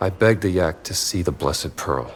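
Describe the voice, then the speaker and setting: Very low, gruff American adventurer. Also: male voice, expressive, brisk, a little hiss or hum. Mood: measured storytelling. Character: gruff 1930s American adventurer-archaeologist, dry and wry. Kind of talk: cinematic game cutscene acting.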